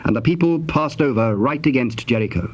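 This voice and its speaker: A man with a British accent narrating scripture in a solemn, formal, deliberate way. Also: medium pitch, animated. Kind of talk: scripted dramatic Bible narration. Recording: dull, noisy old cassette tape.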